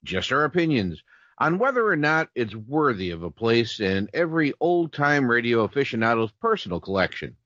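A man's voice: mid-range voice, big pitch swings, normal speed, fairly bright tone, dry recording, highs cut off. A man co-hosting a podcast and presenting the show. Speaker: podcast co-host.

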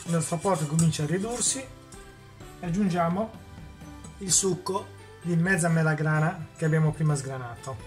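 A man's voice: a little high, moderate pace, faint music behind. A man hosting a cooking demonstration in a home kitchen, explaining each step and answering his own questions. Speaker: cooking show host.

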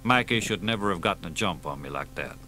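A worn-out veteran agent speaks in a low, hushed voice, as a male narrator puts him on. He sounds subdued and resigned, his words coming quietly over a faint background hum. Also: animated, fast. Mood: weary.

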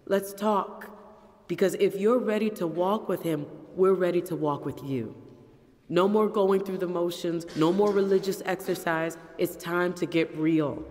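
An actress playing an earnest, troubled middle-aged pastor's wife in a confessional manner for an audio drama. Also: female voice, lowish voice, unhurried, a little mellow. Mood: earnest, resolved.

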